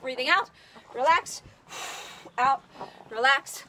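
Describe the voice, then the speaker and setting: High, loud-voiced woman coaching a workout. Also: takes it slowly, a little hiss or hum. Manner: upbeat, counting down and cheering on. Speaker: fitness trainer. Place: outdoors in the sun. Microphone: phone.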